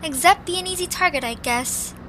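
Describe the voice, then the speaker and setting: Very high, headstrong little cartoon girl played by a woman. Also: brisk, pushed and bright, slight background noise. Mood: reassuring, indignant. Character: headstrong, suspicious young girl Zoombini, small cartoon creature. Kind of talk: amateur cartoon audio drama acting.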